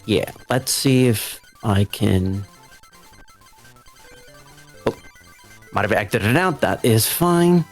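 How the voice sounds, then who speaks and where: A man's voice: on the low side, animated, takes it slowly, long pauses, faint music behind. A man who is competing in a speedrun, talking casually and live as he plays. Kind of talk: casual live talk while playing.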